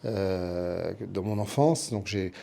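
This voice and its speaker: A man's voice: sits low, slow, vocal fry, dark tone. A middle-aged man answering as an interview guest in a conversational, explanatory way, often listing and repeating to build his point. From born in Milan, Italy. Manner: explanatory, builds points through lists and repetition. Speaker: interview guest, TV producer and writer.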